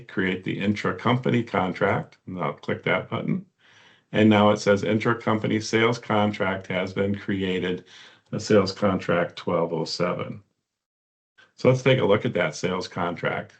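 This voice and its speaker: Low-voiced man giving a software walkthrough. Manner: halting, step-by-step explaining. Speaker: software demonstrator.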